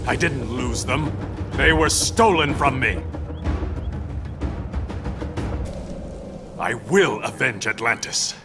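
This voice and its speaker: A man's voice: mid-range voice, measured pace, natural voice. An actor playing a proud, resolute sea king out for vengeance in a video game, firm and earnest, with music behind him. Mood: grim. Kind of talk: video game hero acting.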